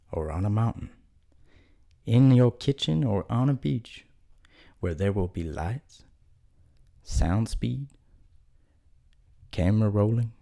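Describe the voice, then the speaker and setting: A man reads a scripted show outro. His voice is low, dark and full of gravelly fry. He takes it slowly, like an announcer closing a podcast.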